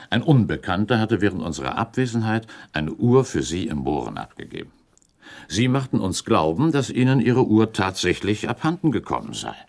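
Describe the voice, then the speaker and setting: Methodical, relentless accuser for period radio drama: gravelly, animated, formal and argumentative. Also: male voice, sits low, heavily acted. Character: shrewd male accuser laying out a murder theory, methodical, relentless. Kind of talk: German radio play acting, period dialogue.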